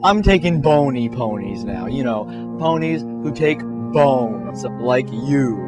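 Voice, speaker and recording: A man's voice: mid-range voice, expressive, takes it slowly. Man acting giant male pony villain, menacing, rambling, self-pitying, melodramatic, comic storybook, music behind. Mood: threatening. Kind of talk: comic fan-fiction storytelling.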